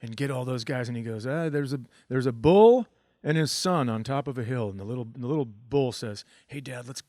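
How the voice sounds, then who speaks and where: A man's voice: mid-range voice, expressive, fairly quick, mellow, dry recording. Dry-humored man, close dry podcast sound. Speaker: podcast host, comedian. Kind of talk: casual chat, comic anecdotes.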